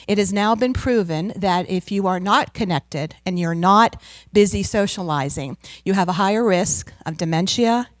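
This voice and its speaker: A middle-aged American woman giving a loud, upbeat seminar talk as a guest speaker into a handheld microphone. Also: medium pitch, dry recording. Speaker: guest speaker, travel business owner. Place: community center room.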